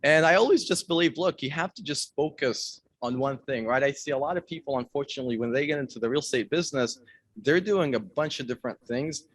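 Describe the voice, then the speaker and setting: A young man from Iraq giving an energetic, direct talk to an audience as a guest speaker, repeating phrases for emphasis. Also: medium pitch, fast talker, husky.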